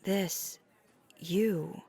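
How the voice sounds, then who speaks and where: A woman voicing a flirty, caring vampire in an intimate ASMR roleplay, soft-spoken and breathy, sometimes near a whisper, with halting hesitations. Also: fairly low pitch, steady tone, exaggerated voice. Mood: gentle, concerned. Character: flirty, caring female vampire on a dinner date.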